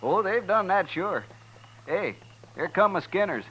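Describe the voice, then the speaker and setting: An American actor playing a talkative, hospitable buffalo hunter in a 1950s radio western, with folksy, drawling rustic speech. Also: male voice, sits high, expressive, a little slow, fairly bright tone, husky, heavily acted. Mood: folksy, chatty. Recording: dull, band-limited 1950s radio recording.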